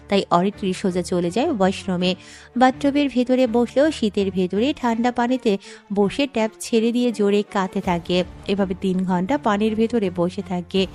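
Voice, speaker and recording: Woman narrating an audio story, mid pitch, music in the back. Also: a little mellow.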